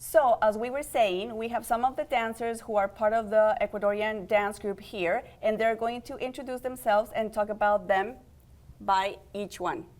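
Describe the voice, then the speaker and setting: A woman's voice: mid-range voice, fairly even intonation, moderate pace, darkish tone. A young adult woman hosting a community TV interview, friendly and upbeat, guiding her guests with questions. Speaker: host and program organizer.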